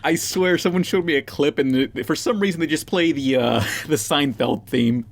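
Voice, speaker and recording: A man's voice: on the high side, moderate pace. A man hosting a podcast chat, asking the others questions and adding laughing asides. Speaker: podcast host.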